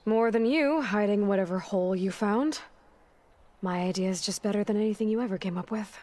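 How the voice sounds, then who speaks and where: A woman's voice: medium pitch, brisk, darkish tone, close to an everyday voice. An actress playing a determined, commanding young warrior woman in a video game, with an American accent and blunt, assertive delivery. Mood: defiant, confident. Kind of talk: video game cutscene acting.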